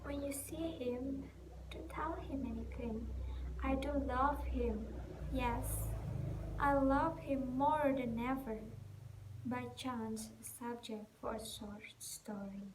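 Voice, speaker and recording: A woman playing a weary, distraught young stage actress in an emotional, halting theatrical monologue. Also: high-pitched, steady tone. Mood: resolute, tender. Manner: halting, emotional, swinging from hushed to loud. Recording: echoey room with noticeable background hiss.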